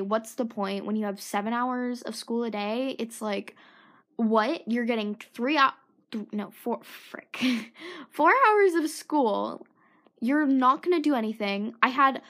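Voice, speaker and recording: A high, bright teenage girl chatting alone into her podcast mic. She rambles and mocks herself, often trailing off into whispers. Her throat is sore and her voice sounds tired. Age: teens. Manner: self-mocking, scattered, drifts into whispered asides. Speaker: podcast host. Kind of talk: rambling solo podcast chat.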